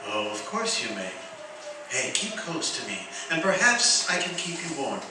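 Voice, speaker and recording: A woman playing a gentle, lonely, kindly spirit in an old-time radio drama, explaining things patiently and theatrically. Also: low-pitched, big pitch swings, normal speed. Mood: kindly, wistful. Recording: very echoey hall, distant mic, faint noise.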